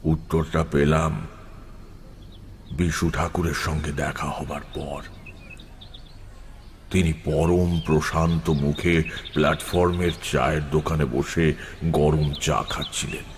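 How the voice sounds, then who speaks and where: A man narrating a first-person horror story in a dramatic, suspenseful, expressive scripted style. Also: very deep voice, slow pace, pauses often, slight background noise. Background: prominent music.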